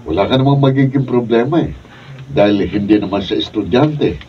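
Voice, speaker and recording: A man's voice: medium pitch, fairly quick, natural voice. A man playing an encouraging, straight-talking friend in a Filipino radio drama. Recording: muffled, distant mic, faint noise.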